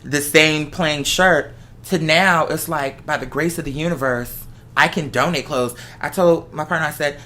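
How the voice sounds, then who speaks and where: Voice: medium pitch, normal speed, sharp tone. A host talking casually and from the heart, emphatic and given to repeating phrases for effect. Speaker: host of the show; artist who makes videos and music.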